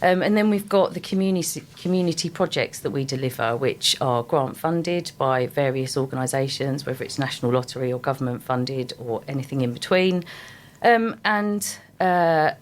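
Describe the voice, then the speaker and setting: A middle-aged woman, a guest on a radio show, speaking with a voice deeper than usual because she is unwell, chatty and enthusiastic. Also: normal speed, slight background noise. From England. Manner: chatty, enthusiastic about her work. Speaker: guest, sales and marketing manager. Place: radio studio.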